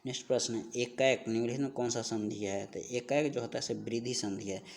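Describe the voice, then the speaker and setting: A male teacher with a mid-pitched voice delivers an exam-prep lecture. He reads each question aloud, then explains it in a didactic manner, restating the key answer so it sticks. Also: fairly even intonation, fairly quick, creaky, darkish tone. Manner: didactic, repeats answers for emphasis.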